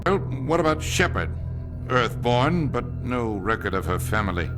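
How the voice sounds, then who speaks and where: A man playing a serious official who talks in a deliberate, questioning way. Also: medium pitch, very animated, natural voice. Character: serious male official weighing a candidate. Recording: music behind.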